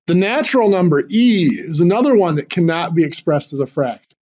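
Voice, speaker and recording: A man teaches a math class, walking through each idea step by step with small fillers and restarts. His voice sits high and sounds hoarse, with frequent throat clearing as he loses it. The recording is dull and lo-fi. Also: expressive, fairly quick, darkish tone.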